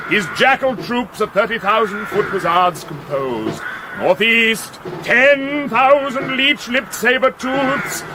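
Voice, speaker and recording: A high-pitched, swooping pompous prince. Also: male voice, noisy background, full character voice. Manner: declamatory, grandiose mock-archaic speech. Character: pompous heroic young crown prince rallying his army. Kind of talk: mock-epic radio comedy acting.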